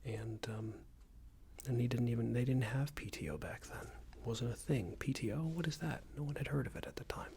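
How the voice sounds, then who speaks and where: A man playing a smug, unreasonable boss in an ASMR roleplay, scolding an employee in a soft breathy whisper with a hesitant, condescending manner. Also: brisk, slight background noise, close to an everyday voice. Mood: condescending, disapproving. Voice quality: breathy soft whisper with low creaky murmur. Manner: hesitant, condescending, meandering reprimand.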